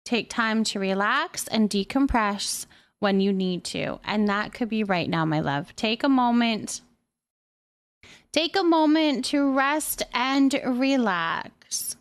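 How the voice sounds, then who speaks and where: A woman's voice: fairly high pitch, expressive, slow pace, smooth. A woman hosting a casual live stream, reading out and answering viewer messages in an affectionate, reassuring way. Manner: affectionate, addresses listeners with endearments.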